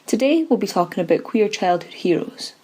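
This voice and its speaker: A woman's voice: mid-range voice, expressive, a little fast, dark tone, husky. A woman hosting a podcast, moving between scripted narration and interview questions.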